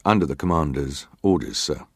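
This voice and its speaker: Casting: middle-aged male naval officer in hearing drama, deep voice, clipped formal answers, close dry sound. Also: fairly quick, dry recording. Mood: guarded, composed. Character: formal ship's officer testifying, guarded and terse. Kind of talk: dramatised reading of hearing testimony.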